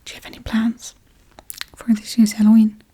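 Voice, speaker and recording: A woman playing a forgetful, darkly playful witch in a soft-spoken, whispery ASMR role-play, murmuring gently and absent-mindedly. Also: fairly high pitch, monotone, moderate pace, poor audio. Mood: apologetic, musing. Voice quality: breathy, whispery.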